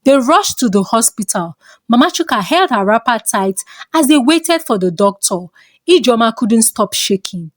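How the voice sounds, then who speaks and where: Expressive Nigerian woman storyteller, high-pitched voice. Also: normal speed, no echo, studio quality. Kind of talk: scripted folktale narration.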